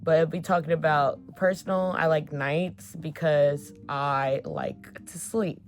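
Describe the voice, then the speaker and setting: Low-voiced, slow-talking young woman vlogger. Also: fairly even intonation, soft background music. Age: 20s. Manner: chatty, filler-heavy, swears casually. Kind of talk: casual get-to-know-me chat.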